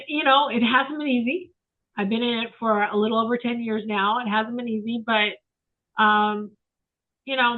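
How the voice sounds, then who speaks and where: A woman around sixty, a photographer guest, talking in a rambling, reflective way with many fillers over a remote call. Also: mid-range voice. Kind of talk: casual interview chat. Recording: tinny phone-like line.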